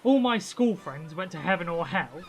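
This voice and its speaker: Woman as a lowish-voiced, bewildered space traveller. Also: expressive, normal speed. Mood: bewildered, dry. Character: sceptical, bewildered, polite reluctant space traveller. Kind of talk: comic sci-fi audio drama acting.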